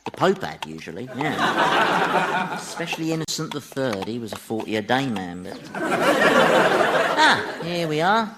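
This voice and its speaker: An actor playing a sly, gleefully malicious Devil in a radio comedy, urbane and teasing, with a chuckling, mock-innocent manner. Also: male voice, a little high, wildly swooping pitch, slow pace, long pauses, a loud crowd, very noisy, heavily acted. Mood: gleefully amused. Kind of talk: radio sitcom acting.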